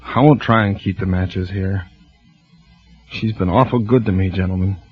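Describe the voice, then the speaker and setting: Haunted firebug. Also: male voice, sits low, steady tone, moderate pace, breathy edge. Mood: weary, remorseful. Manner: soft weary confession turning rapt. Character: haunted male firebug, quietly confessing, fire-obsessed. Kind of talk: 1940s radio acting. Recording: muffled old radio broadcast with music.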